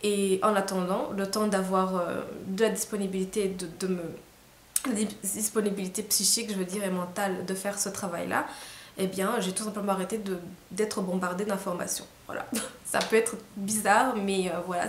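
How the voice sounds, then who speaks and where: A woman's voice: mid-range voice, little pitch movement, moderate pace. Tired hesitant young woman. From Rwanda. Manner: hesitant, many fillers and restarts, soft laughs. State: tired, late at night. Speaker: vlogger. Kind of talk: casual reflective monologue to camera. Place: small room indoors. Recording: roomy echo, faint hiss.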